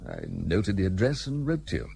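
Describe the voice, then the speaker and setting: A worldly, guarded gentleman of a bygone age in a radio drama. His low voice moves widely in pitch while staying curt and dry. A faint hum sits behind him. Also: huge pitch swings, a little fast, gravelly, full character voice. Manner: curt, dry, formal, old-fashioned. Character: gruff, guarded, well-travelled English gentleman seeking isolation. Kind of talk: period radio drama acting.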